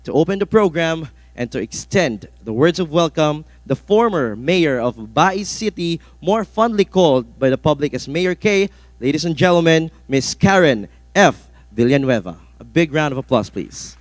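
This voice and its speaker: Male event host, slightly high voice. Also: expressive, normal speed, no echo. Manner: formal, ceremonious announcing. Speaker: event host, master of ceremonies. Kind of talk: formal scripted introduction to an audience.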